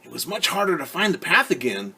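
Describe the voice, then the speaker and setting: A man's voice: on the high side, very animated, brisk, creaky, pushed and bright, slightly rough, pitched above the performer's own voice, exaggerated voice, low-quality recording. A man voicing a polite, eager young girl in a storybook reading.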